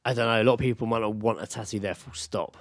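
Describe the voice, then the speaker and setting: A man's voice: on the low side, fairly even intonation, fast, no echo. Blokey man, dry podcast sound. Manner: informal, blokey. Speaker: podcast co-host. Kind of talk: casual chat, reading out odd news.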